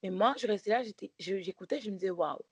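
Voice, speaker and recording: A woman's voice: mid-range voice, fast talker, slightly rough, dry recording. A woman co-hosting a podcast, telling a story in casual chat with many restarts and fillers. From Belgium. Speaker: podcast co-host.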